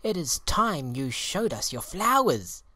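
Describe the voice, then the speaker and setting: A man's voice: on the high side, very animated, unhurried, bright, forceful tone, dry recording, clean studio sound, pitched above the performer's own voice, heavily acted. A man voicing bossy garden flowers in a children's storybook, chiding in an emphatic way. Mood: urging. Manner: scolding, emphatic.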